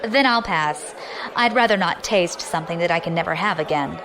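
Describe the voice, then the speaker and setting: A woman's voice: on the low side, moderate pace, noisy background, lightly acted. A woman playing a defiant, ambitious young adventurer in an audio drama, wry and guarded in manner.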